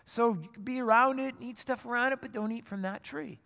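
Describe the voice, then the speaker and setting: A pastor voicing a gentle God asking for trust. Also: medium pitch, brisk, vocal fry, voice pushed up. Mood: reassuring. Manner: gentle, reassuring, pleading for trust. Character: kindly God speaking to first humans. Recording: long reverb of a large hall.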